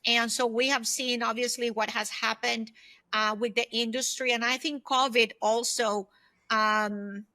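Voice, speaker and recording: A woman's voice: a little high, flat delivery, unhurried, bright, forceful tone, dry recording. A woman in her fifties giving reflective, conversational answers as an interview guest. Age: 50s. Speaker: podcast guest, former executive turned solopreneur.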